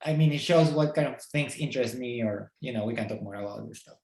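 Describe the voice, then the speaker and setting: A middle-aged man with a Peruvian Spanish accent speaks in a relaxed, thoughtful way, filling gaps with small hesitations. His voice sits at an ordinary pitch and carries a noticeable echo from the room around him. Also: fast. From Peru. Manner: thoughtful, with many fillers. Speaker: guest. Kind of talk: casual interview. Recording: roomy echo.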